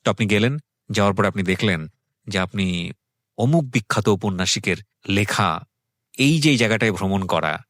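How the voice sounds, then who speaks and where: Male radio host, lower register, reflective and articulate, some English mixed in, dry studio sound. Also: fairly bright tone, no echo. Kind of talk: radio show introduction and interviewing.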